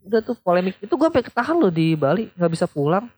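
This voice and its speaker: Young man, rapid speech, slightly high voice, laughs a lot. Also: big pitch swings, darkish tone, slightly rough, dry recording. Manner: fast, joking, laughs often. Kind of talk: casual chat.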